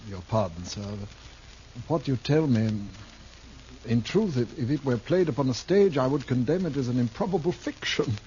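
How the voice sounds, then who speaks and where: An actor playing a grandiloquent, indignant Shakespearean stage actor in a 1950s radio drama, declaiming in archaic, florid phrases. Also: male voice, mid-range voice, animated, normal speed, mellow, natural voice. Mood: indignant, bewildered. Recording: muffled old radio recording, faint noise.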